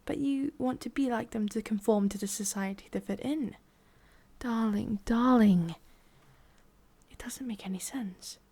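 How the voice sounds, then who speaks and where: A woman with an English accent playing a sadistic, condescending female demon boss in an ASMR roleplay, speaking deliberately and slipping between soft voice and whisper. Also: fairly high pitch, slightly rough. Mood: scornful, disappointed.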